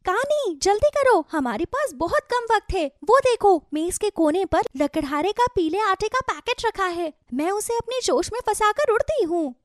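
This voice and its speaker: A woman's voice: extremely high pitch, very animated, normal speed, hardly any pauses, dry recording, studio quality. A woman voicing a caring, determined mother bird in a children's cartoon, with an urgent manner. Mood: urgent, alarmed. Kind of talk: Hindi children's cartoon story dialogue.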